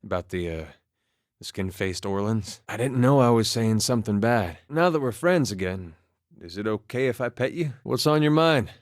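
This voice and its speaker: An actor playing an easygoing, wry farmer and war veteran in a video game, talking in a folksy, understated way. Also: male voice, fairly low pitch, animated, moderate pace, no room sound, lightly acted. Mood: sheepish, gentle, then relaxed, wistful. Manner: folksy, laid-back, dry understated humor. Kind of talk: conversational video game character dialogue.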